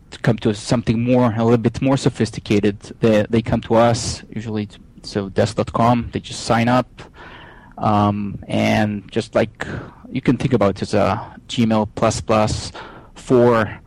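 A man in his thirties from Israel with an Israeli accent, a software developer guest speaking casually with many fillers and restarts. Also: mid-range voice, low-quality recording. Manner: halting, frequent restarts and fillers. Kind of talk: casual podcast interview.

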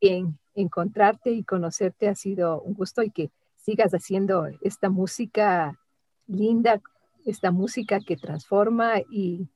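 Casting: female co-host, encouraging and warm, dry close recording. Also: medium pitch, measured pace, dry recording, low-quality recording. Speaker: co-host interviewer. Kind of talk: casual interview chat.

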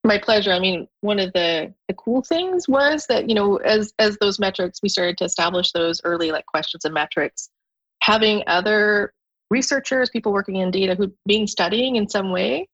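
A woman from Canada, a guest on a podcast, talking thoughtfully in a relaxed conversation, often restarting her sentences. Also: medium pitch, normal speed, breathy edge, dull audio. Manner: thoughtful, with frequent restarts and fillers. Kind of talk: conversational interview.

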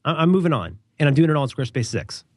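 A man's voice: medium pitch, big pitch swings, racing, a little mellow, dry recording. A stuffed-up guy co-hosting a podcast from a dry, close mic, wandering off on wry tangents between sponsor plugs. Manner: rambling, digressive, wryly joking. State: congested with a cold. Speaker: podcast co-host. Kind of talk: casual podcast chat and ad read.

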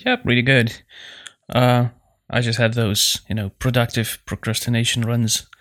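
A man with a mid-pitched voice chats casually as a podcast co-host. His talk is halting, and he often repeats words while he finds his way through a sentence. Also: flat delivery, fairly bright tone. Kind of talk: casual chat.

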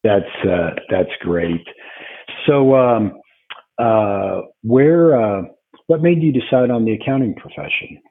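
Man, podcast host, hesitant questions, husky voice, lo-fi phone sound. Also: lowish voice, expressive, takes it slowly. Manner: hesitant, lots of uh and um. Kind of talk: podcast interview. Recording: tinny phone-like line.

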